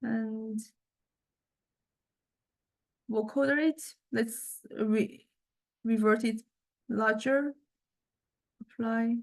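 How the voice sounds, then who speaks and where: A woman lecturer giving an informal software demonstration, thinking aloud in a halting way as she goes. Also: fairly high pitch, steady tone. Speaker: music lecturer.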